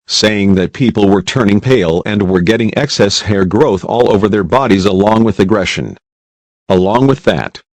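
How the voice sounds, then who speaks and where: A man's voice: fairly low pitch, dry recording. A man voicing a formal television news anchor in a scripted emergency news broadcast. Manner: formal, even delivery.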